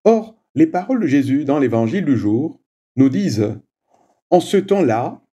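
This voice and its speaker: A man whose first language is Swahili, leading a prayer and giving a slow, measured homily with frequent little "hein" asides. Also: on the high side, big pitch swings, dark tone, husky. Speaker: preacher.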